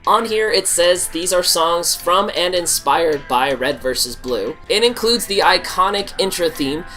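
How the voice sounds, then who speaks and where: A man's voice: high-pitched, lively intonation, unhurried. Congested man hosting a music vlog. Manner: enthusiastic fan talk with some swearing. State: congested from a cold. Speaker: vlogger and show host. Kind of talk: casual music review vlog. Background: band music playing.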